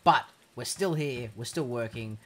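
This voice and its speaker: A young man commentating on a video game in a sarcastic, sweary way, with sudden excited outbursts. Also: medium pitch, a little slow, a little hiss or hum. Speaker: gaming commentator. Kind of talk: let's play commentary.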